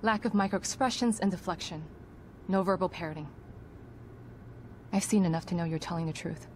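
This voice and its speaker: An actress playing a composed, guarded psychologist in a video game, speaking in precise, formal clinical terms. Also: female voice, fairly low pitch, moderate pace, a little mellow, a little hiss or hum, lightly acted. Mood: composed, wary. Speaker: psychologist character in a horror game. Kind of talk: video game cutscene acting. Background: background music.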